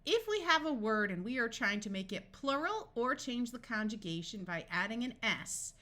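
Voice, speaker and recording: A woman narrating a scripted phonics lesson as a reading tutor, deliberately sounding out words piece by piece. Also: a little high, big pitch swings, sharp tone.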